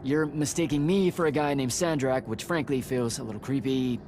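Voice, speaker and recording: An actor playing a brash, emotional young male battlemage in a video game, speaking informally and haltingly. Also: medium pitch, fairly even intonation, noisy background, natural voice. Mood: uneasy, blunt. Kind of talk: video game cutscene acting.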